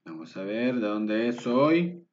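A man's voice: medium pitch, big pitch swings, slow, darkish tone. A man teaching a lesson, thinking aloud over videos and correcting himself as he goes. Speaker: Spanish teacher. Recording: dull lo-fi voice with faint music.

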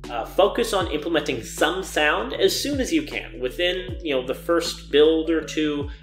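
A man's voice: a little high, expressive, normal speed, faint music behind. A young man giving an informal advice lecture to camera in an earnest, emphatic way, repeating his intensifiers, recorded indoors in a living room. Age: young adult. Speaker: game jam organizer giving a keynote talk. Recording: roomy indoor echo.

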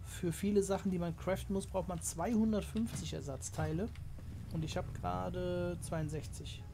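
A man hosting a gaming channel, chatting casually through his play and explaining it to viewers, his voice slightly hoarse from a cold. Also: high voice, fairly even intonation, normal speed, background noise. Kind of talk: casual let's play commentary.

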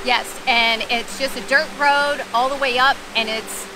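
A woman's voice: high voice, fairly even intonation, over music, rough recording. A middle-aged woman vlogging outdoors, chatting casually with dry, teasing remarks. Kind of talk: casual travel vlog. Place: outdoors by a waterfall. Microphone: camera held at arm's length. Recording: rushing waterfall noise behind. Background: waterfall.

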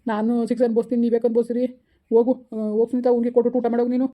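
A woman's voice: on the high side, flat delivery, fast, muffled recording, lightly acted. A woman acting a bitter, heartbroken village parent in a family melodrama, lamenting with emphatic repetition in a rural southern Karnataka accent. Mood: bitter, angry.

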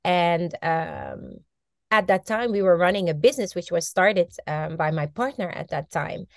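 A woman in her forties, a native Dutch speaker, telling her story with animated gestures over a video call from a bright room at home. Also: lowish voice, unhurried, vocal fry, no room sound, studio quality. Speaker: guest, mindset coach. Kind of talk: casual video-call interview. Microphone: video call laptop mic.

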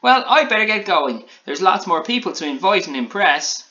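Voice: mid-range voice, very animated, moderate pace, sharp tone, highs cut off, voice pushed up. A storybook reader voicing a tiny, eager, boastful gingerbread man who is needy and showy. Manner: eager, needy, showy, sing-song bragging.

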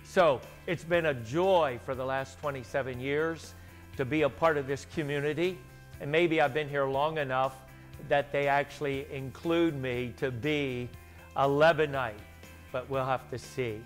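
Older american guy, pastor type, talking to camera kinda halting and measured, bit of music behind him. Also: medium pitch, fairly even intonation. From Baltimore, United States. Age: 60s. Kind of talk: personal testimonial to camera. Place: indoors by a window. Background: instrumental music.

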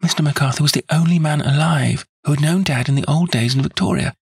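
An earnest young woman , as a male reader puts her on, insistent and pleading, her voice lifted high above his own. It is breathy and husky, close and dry, with no room around it. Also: fairly quick, dry recording, full character voice. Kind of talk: audiobook character voice.

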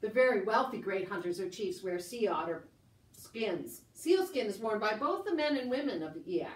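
A woman's voice: mid-range voice, big pitch swings, normal speed, close to an everyday voice. Echoey, playful puppet chief voiced by a woman. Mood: proud, explaining. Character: bashful yet boastful, playful Eyak chief puppet. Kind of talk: educational puppet show monologue. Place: indoor room, puppet stage. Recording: echoey room, slightly distant mic.

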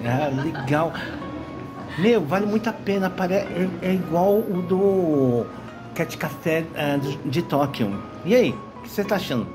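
Brief: older Brazilian man, chatty selfie vlogger, very animated pitch, music underneath. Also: a little slow, low-quality recording. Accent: Brazilian Portuguese. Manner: chatty, easily delighted, talks to viewers. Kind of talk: casual vlog chat. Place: cat café indoors. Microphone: phone held at arm's length. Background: background music.

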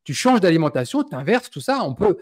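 A man's voice: sits high, big pitch swings, fairly quick. A man in his forties with a France French accent, hosting an interview and speaking outspokenly and with indignation into a studio mic. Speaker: host. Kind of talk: interview, passionate opinion. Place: small indoor room. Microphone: studio mic on stand.

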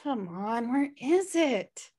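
A woman's voice: fairly high pitch, sing-song, slow pace, warm tone, full character voice. An American woman playing a lazy, flippant slacker sister in a comedy table read, with a casual, dismissive way of talking. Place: indoor room, video call.

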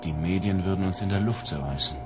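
A man's voice: sits low, quick pace, over music, close to an everyday voice. A man acting the part of an eager, confident police officer in a radio drama. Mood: keen, confident.

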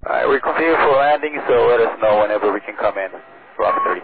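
A man's voice: mid-range voice, fast talker, gravelly. Male pilot on a tinny radio. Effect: aviation radio. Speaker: aircraft pilot. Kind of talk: clipped radio readbacks. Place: small aircraft cockpit. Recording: tinny narrowband cockpit radio with static.

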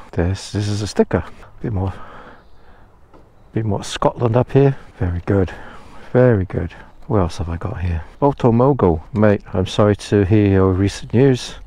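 Chatty low-voiced man outdoors. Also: dark tone. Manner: chatty, rambling, self-deprecating. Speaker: motorcycle vlogger. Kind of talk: casual vlog talk to camera. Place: outdoors by a roadside phone box. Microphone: head-mounted action camera.

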